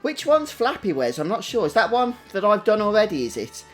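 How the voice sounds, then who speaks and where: Male streamer, British, fairly high voice, chatty and casual gameplay commentary over faint music. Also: huge pitch swings, a little fast. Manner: chatty, greets viewers individually. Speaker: game livestream host.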